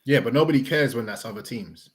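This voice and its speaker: A young man on a video call, teasing and slangy, talking football in an animated way. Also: mid-range voice, fast, a crowd in the background. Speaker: football podcast panelist. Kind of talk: teasing casual banter.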